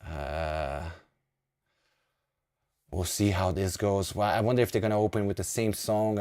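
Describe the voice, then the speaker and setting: A man's voice: fairly low pitch, mellow. A man in his thirties from Brazil with a Brazilian accent, chatting casually to viewers on a live stream and often repeating words as he restarts. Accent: Brazilian Portuguese. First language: Portuguese. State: sleepy.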